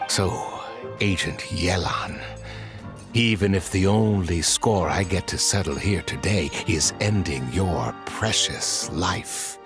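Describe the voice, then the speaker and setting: A slow, menacing, bitter crime boss. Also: male voice, sits low, over music. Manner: melodramatic, menacing, drawn-out delivery. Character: ruined, bitter male crime boss, vengeful and theatrical. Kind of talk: video game cutscene acting.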